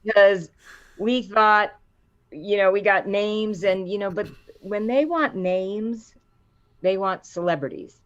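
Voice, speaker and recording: A middle-aged woman with a low voice, a voice actor chatting in an interview and acting out the quotes in her stories. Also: takes it slowly, dull audio. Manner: animated storyteller, acts out quotes with rising pitch. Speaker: voice actor guest. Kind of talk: casual interview chat.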